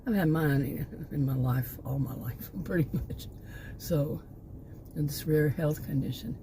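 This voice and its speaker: Rambling low-voiced woman in her sixties. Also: a little slow, gravelly, noisy background. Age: 60s. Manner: rambling, often stops to restart and lose her thread. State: losing her voice. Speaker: channel host. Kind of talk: rambling monologue.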